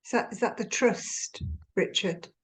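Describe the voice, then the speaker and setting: A woman of average pitch speaks from among the students in a meditation class. She asks unscripted, conversational questions of the teacher. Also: unhurried.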